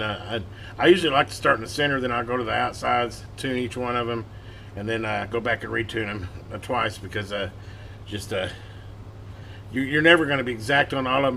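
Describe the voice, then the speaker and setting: A man's voice: mid-range voice, pushed and bright. A man hosting a hobby electronics repair channel, giving a casual hands-on tutorial in a rambling, folksy, self-correcting way. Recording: clearly noisy workbench recording. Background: clear background noise.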